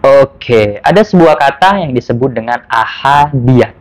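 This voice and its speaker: A man hosts an informal educational explainer. His voice sits at a medium pitch. He talks in a chatty way and often restates what he has just explained. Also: animated, a little slow. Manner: repetitive, chatty, spells out and restates words. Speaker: host of a language lesson.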